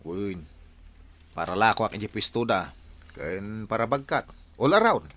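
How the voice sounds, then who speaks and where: A man's voice: on the low side, very animated, unhurried, a bit bright, heavily acted. A man acting in an Ilocano radio drama as a blustery, teasing village working man, with an Ilocano accent. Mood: surprised, eager.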